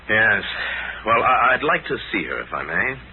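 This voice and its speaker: An actor playing a calm, righteous pulp hero detective, authoritative and dramatic in 1940s radio adventure style. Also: male voice, fairly low pitch. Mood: calm, probing. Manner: authoritative, measured, dramatic questioning. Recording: tinny, hissy 1940s radio recording.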